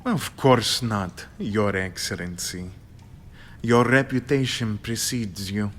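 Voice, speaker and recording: An actor playing a cocky, shrewd bandit chief in an audio drama, with a taunting, theatrical manner. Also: male voice, fairly low pitch, slow, a bit bright, a little hiss or hum. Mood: wary, conceding.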